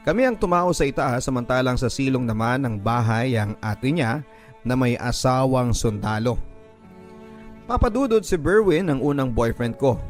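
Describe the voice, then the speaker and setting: Man reading a heartfelt letter, soft music. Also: medium pitch, expressive. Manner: emotive, slowing on sad moments. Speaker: radio drama narrator reading a listener's letter. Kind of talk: dramatic first-person letter reading.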